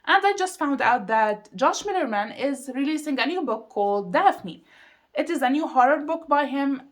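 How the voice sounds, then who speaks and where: A woman's voice: high-pitched, animated, moderate pace. A woman speaking casually to viewers as a vlogging reviewer, emphatic and repeating words for stress. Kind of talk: casual chatty video monologue to viewers.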